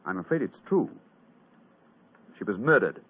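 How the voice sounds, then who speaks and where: An actor playing a shrewd, courteous British gentleman detective in a polished, theatrical old radio drama style. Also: lowish voice, big pitch swings, normal speed, slightly rough. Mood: grave, gentle. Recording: muffled, lo-fi vintage radio sound.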